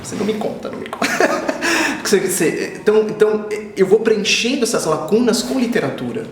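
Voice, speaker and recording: A man in his forties, born in Israel and raised in Brazil, speaking as an author in an interview, reflective and often repeating himself, growing animated when he tells stories. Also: high voice, a little fast, roomy sound, slight background noise. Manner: thoughtful, circling back and repeating phrases, animated when telling stories. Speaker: children's author, interview guest.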